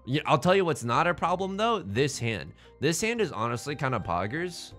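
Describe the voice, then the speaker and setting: Animated joking man over faint music. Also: on the high side, normal speed. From China. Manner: jokey, rambling, playful internet slang. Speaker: gaming channel host. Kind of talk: let's play game commentary.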